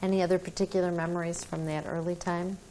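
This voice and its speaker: Calm woman interviewer, lowish voice. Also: quick pace, a little hiss or hum, rough recording. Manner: calm, plain, methodical questioning. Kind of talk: oral history interview questions.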